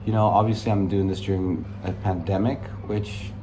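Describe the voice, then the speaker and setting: A man's voice: low voice, fairly even intonation, moderate pace, background noise. A Dutch man in his twenties with a mixed American-international accent, rambling in a cocky, upbeat way through a casual vlog. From Netherlands. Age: 20s. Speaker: vlogger. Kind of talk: casual unscripted vlog monologue. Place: room in London.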